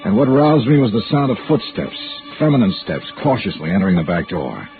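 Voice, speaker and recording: Wry hardboiled radio detective, lowish voice that swings a lot, old tinny noir recording. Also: male voice, big pitch swings, brisk, soft background music. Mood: wry narrating. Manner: wry, clipped, emphatic. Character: dry, shrewd, hard-boiled male insurance investigator. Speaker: lead detective and narrator. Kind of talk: 1950s radio noir narration and acting.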